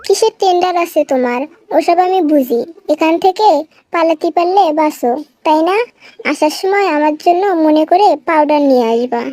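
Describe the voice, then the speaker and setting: A woman's voice: extremely high pitch, lively intonation, normal speed, warm tone, no room sound. A woman playing an aggrieved, scolding young wife in a comic cartoon, with a rural Bangladeshi accent. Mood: bossy, suspicious. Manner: scolding, wailing, complaining. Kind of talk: melodramatic comic cartoon dialogue.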